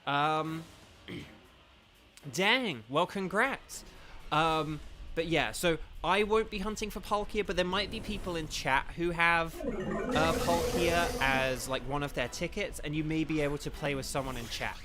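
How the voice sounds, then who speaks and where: A young man streaming a game, chatting casually with his chat, rambling with lots of fillers, a bit croaky. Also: a little high, a little slow, sharp tone, faint music behind. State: slightly croaky from eating. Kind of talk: casual gaming livestream chat.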